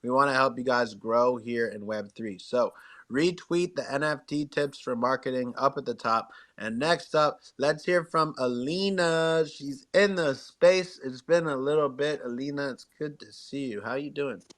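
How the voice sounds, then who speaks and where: A man's voice: medium pitch, normal speed, fairly bright tone. A man hosting a casual live online community talk in an encouraging, slangy, upbeat way. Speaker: host. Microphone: live online audio room.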